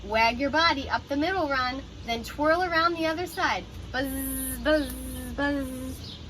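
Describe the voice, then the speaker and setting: Bright, high-voiced woman reading aloud. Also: a little slow, a little hiss or hum, low-quality recording. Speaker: teacher reading a picture book aloud. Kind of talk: children's storybook read-aloud with explanatory asides.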